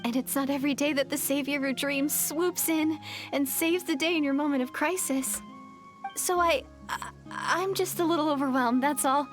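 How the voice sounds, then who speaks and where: A woman's voice: high-pitched, steady tone, moderate pace, soft background music, natural voice. A woman voicing a shy, stammering young village girl. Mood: shy earnest. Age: young adult. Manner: hesitant, stammering, easily overwhelmed. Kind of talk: game dialogue.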